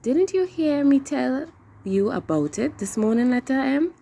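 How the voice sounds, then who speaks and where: A woman voicing a calm bank owner in a children's storybook, explaining things firmly. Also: sits high, a little slow, gravelly, voice pushed up, heavily acted. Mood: reassuring.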